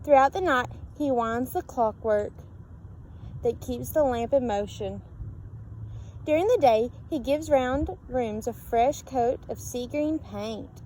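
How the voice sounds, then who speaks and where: High-pitched woman reading a picture book aloud. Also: slow pace, poor audio. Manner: expressive, sing-song on repeated calls, questioning listeners. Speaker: storybook reader. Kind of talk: read-aloud narration of a picture book with asides to children. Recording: steady background noise.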